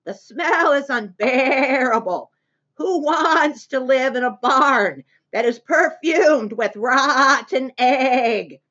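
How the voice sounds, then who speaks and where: A woman voicing an indignant little lamb in a children's storybook, complaining in a drawn-out manner. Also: high voice, a bit bright, highs cut off, pitched above the performer's own voice, exaggerated voice.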